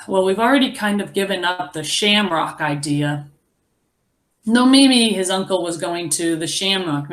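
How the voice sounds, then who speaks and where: A woman teacher reading a children's story aloud expressively, pausing to explain and wonder aloud. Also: on the low side. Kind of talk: animated children's read-aloud with asides.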